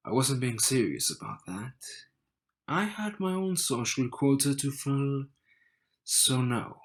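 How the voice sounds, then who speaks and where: Slow-talking, teasing young groom, played by a man. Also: medium pitch, a bit bright, slightly rough, exaggerated voice. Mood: smug, teasing. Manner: smug, teasing, unhurried delivery with dramatic pauses. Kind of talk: romantic audio roleplay addressed to the listener.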